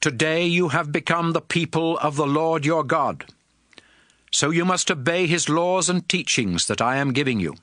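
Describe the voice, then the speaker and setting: A man's voice: a little high, moderate pace, fairly bright tone, no echo, clean studio sound, lightly acted. A man playing a commanding prophet and lawgiver in a dramatized scripture reading, solemn, emphatic and declamatory. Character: Moses, commanding male prophet and lawgiver addressing his people.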